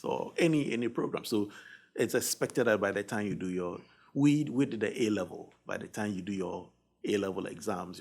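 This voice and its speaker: A middle-aged man from Ghana, a guest professor answering questions in a measured way with many pauses. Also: sits low, creaky, breathy edge. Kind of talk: conversational interview.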